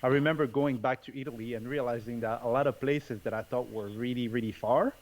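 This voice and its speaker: A man's voice: medium pitch, fairly even intonation, brisk, rough recording. Man, from France, podcast guest, casual, hesitant, remote call. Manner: hesitant, many fillers and restarts, laughs often. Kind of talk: casual interview chat. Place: home in Bali.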